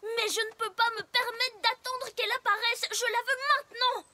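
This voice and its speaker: A woman's voice: extremely high pitch, steady tone, normal speed, pushed and bright, a little hiss or hum, full character voice. A woman voicing an impatient, fretful little girl pony in a French cartoon dub, with a whiny, dramatic manner. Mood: whiny, frustrated. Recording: music behind the voice.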